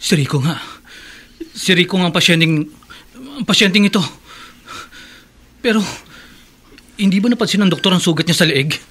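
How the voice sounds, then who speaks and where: A man's voice: high-pitched, animated, normal speed, pauses often, a bit bright. Urgent, pleading Filipino man. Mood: urgent, insistent. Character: distressed male friend of a snakebitten patient, insistent. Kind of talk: Filipino horror radio drama acting.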